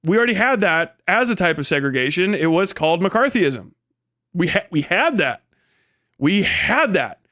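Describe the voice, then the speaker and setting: A man hosting a livestream, giving casual, profanity-laden political commentary in a rambling, animated manner. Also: sits high, normal speed, dry recording, studio quality. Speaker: livestream host.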